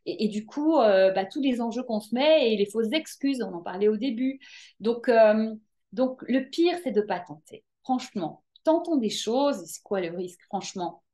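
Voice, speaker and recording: Woman, guest coach; lively, emphatic, casual with hesitant fillers. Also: on the high side, moderate pace. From Belgium. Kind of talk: casual interview chat.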